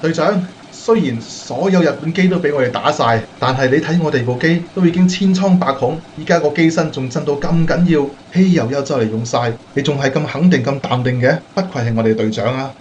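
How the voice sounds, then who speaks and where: A male actor playing a cheeky, excitable young bomber crewman in a radio drama. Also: fairly high pitch, fairly quick, hardly any pauses, highs cut off, a little hiss or hum, natural voice. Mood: admiring.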